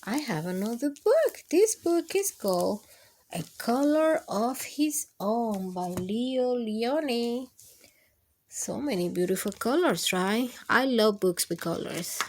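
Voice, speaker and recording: A woman hosting children's storytime, reading picture books aloud in an expressive, sing-song way and putting questions to the children. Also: on the high side, takes it slowly, a little hiss or hum.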